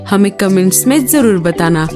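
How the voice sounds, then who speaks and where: A woman narrating a children's cartoon story in an expressive, singsong way and speaking to young viewers. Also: medium pitch, normal speed, over music.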